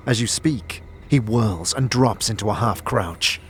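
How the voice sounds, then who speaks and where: A man's voice: on the low side, measured pace, a little hiss or hum. A man delivering scripted second-person narration as the narrator of a fantasy story.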